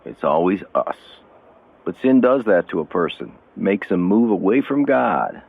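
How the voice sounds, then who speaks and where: A devout long-term prisoner in a radio drama, steady and admonishing. The voice is very deep and full of gravel, with folksy phrasing. The sound is muffled and lo-fi. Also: male voice, unhurried, heavily acted. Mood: blunt concern. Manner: plain-spoken, folksy, admonishing.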